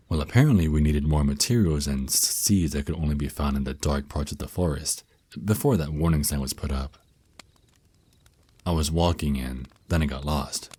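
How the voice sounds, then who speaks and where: An ancient vampire naga boyfriend, played by a man, speaks in an extremely low, husky voice. He is a tender mentor, slow and soothing, with a teasing, affectionate manner. The delivery is an intimate ASMR roleplay monologue. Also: warm tone. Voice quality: very deep, husky.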